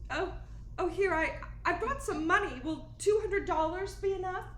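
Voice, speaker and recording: A woman's voice: very high-pitched, moderate pace. A woman playing a fretful, genteel young client in an old-style radio melodrama, hesitant, anxious and polite. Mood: grateful. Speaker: actor in a radio play. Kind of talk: 1940s radio melodrama acting. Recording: echoing room with faint noise.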